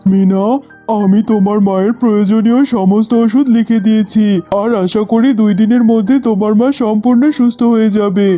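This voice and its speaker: Casting: man as reassuring cartoon animal doctor, formal, high but very dark-toned voice. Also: little pitch movement, brisk, soft background music, lightly acted. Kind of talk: children's cartoon voice acting.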